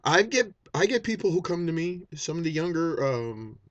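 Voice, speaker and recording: A man's voice: on the high side, very animated, moderate pace, no echo. Blunt, swearing man in his fifties. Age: 50s. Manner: blunt and profane, with many restarts and fillers. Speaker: vaping YouTuber. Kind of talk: unscripted vlog rant.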